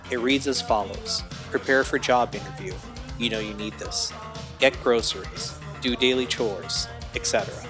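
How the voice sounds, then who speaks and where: A man giving scripted narration for an audio drama. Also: medium pitch. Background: music bed.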